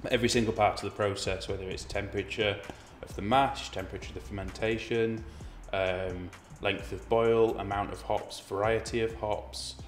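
A man's voice: lowish voice, steady tone, normal speed, hardly any pauses. A man, around forty, a brewer explaining things in a documentary interview in a brick-walled room. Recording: roomy echo, music underneath.

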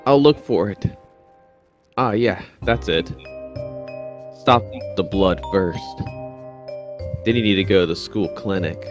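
A man voicing a haughty, self-satisfied teenage schoolboy in an anime fan comic dub. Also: fairly low pitch. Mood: calm, helpful. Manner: smug, condescending.

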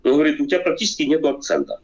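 A man's voice: a little high, big pitch swings, rapid-fire, a little mellow. Man from Ukraine, forties, hesitant with many fillers and restarts, muffled lo-fi webcam sound, video call from home. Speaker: video chat guest. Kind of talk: casual debate over video chat. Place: home room, seated in armchair. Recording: dull lo-fi video call audio.

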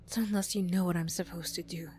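A woman's voice: lowish voice, fairly even intonation, fast, darkish tone, slight background noise, natural voice. Defiant, wounded young bully girl, acted by a woman. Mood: hushed, bitter. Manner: emotional, blunt, defensive, swearing. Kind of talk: ASMR roleplay acting.

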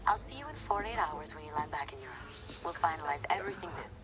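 Low-pitched, assured female agent. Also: lively intonation, loud music behind the voice. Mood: confident, businesslike. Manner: measured, formal, confident. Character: assured, businesslike female football agent on a call. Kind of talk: naturalistic game cutscene acting.

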